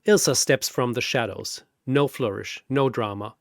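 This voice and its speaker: A man delivering dramatic, deliberate, wry scripted narration of a comic fantasy battle show. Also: medium pitch, dry recording, clean studio sound. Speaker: narrator and announcer.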